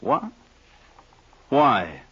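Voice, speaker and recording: Low-voiced surly drinker, husky, curt and sneering, American, 1950s radio crime drama, dull old recording. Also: male voice, sing-song, very slow, a bit bright, exaggerated voice. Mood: disbelieving. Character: surly, sarcastic hard-drinking young man, bullying his girl.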